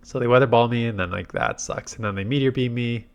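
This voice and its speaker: Man, congested from a cold, medium pitch, casual gaming commentary, thinking tactics out loud. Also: quick pace, smooth. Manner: thinks aloud through tactics. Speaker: game commentator and video host.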